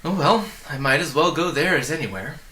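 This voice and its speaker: Man voicing a young storybook boy, wistful, wondering, polite, bright tone, lively intonation. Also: on the high side, brisk, reverberant. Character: bored, wistful, puzzled young boy on an adventure. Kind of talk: storybook character reading.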